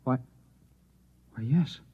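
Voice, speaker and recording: Actor as an insistent Martian-possessed husband, muffled. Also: male voice, slow pace, dark tone, dry recording, natural voice. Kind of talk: old-time radio drama acting. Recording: very muffled vintage radio recording.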